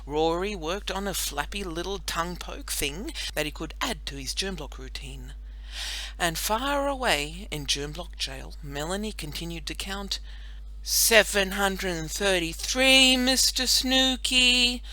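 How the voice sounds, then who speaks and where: Animated, bright-voiced woman narrator. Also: low-pitched, measured pace, low-quality recording. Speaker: audiobook narrator. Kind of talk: animated children's story narration.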